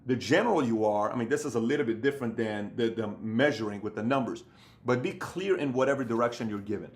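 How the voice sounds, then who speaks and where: A man's voice: medium pitch, fast talker. Thirties man, motivational business coach, emphatic and repetitive, indoor sit-down to camera. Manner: emphatic, repetitive, acts out other people's voices. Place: indoor room with bookshelves.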